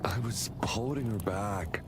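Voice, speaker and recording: An actor playing an anxious, devoted young older brother in a video game. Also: male voice, lowish voice, measured pace, natural voice. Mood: tender confession. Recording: loud music behind the voice. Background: loud game music.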